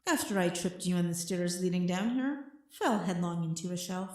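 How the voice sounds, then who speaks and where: A woman's voice: lowish voice, moderate pace, roomy sound, lightly acted. A woman playing a cold, cunning, proud villainess in an audio drama, speaking in a haughty, clipped and formal manner. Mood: cool, haughty. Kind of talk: scripted audio drama acting.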